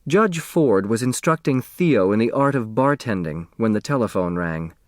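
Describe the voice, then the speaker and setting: A man narrating a story as an audiobook reader, expressive and scripted. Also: fairly low pitch, moderate pace, dry recording, studio quality.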